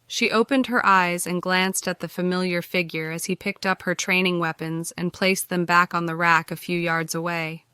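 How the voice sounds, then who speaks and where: A woman's voice: fairly low pitch, steady tone, normal speed, hardly any pauses, bright, forceful tone, clean tone, dry recording, studio quality. A woman reading scripted fiction narration for an audiobook.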